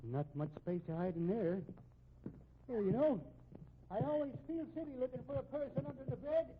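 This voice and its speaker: A man's voice: very high voice, moderate pace. An actor playing a kindly, folksy, dogged policeman in a 1950s radio drama. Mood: searching, affable. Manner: folksy, avuncular turns of phrase. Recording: tinny, hissy 1950s radio recording.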